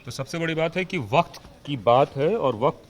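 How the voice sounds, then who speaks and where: A man's voice: a little high, expressive, normal speed. A man reporting on location and putting questions to local residents as a TV news reporter. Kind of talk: on-location reporting and vox-pop interviewing.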